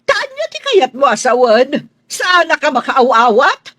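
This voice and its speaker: A woman's voice: high-pitched, very animated, unhurried, fairly bright tone, no room sound, studio quality, full character voice. A woman acting in a radio drama as a haughty, stingy lady of the house who scolds and complains. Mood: scolding.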